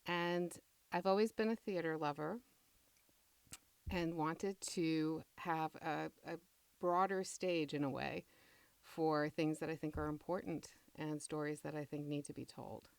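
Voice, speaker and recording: A middle-aged woman being interviewed as a playwright, talking reflectively with measured, deliberate phrasing. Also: low-pitched, fairly even intonation, vocal fry, smooth, no room sound.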